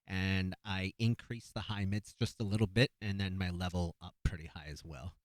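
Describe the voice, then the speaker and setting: A young man with a naturally low voice picks apart a performance in easy, halting commentary, full of "um" and restarts. The sound is dry and close, with no room around it. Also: no echo. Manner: relaxed, hesitant, many fillers and restarts. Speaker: beatbox battle analyst. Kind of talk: casual commentary over a video.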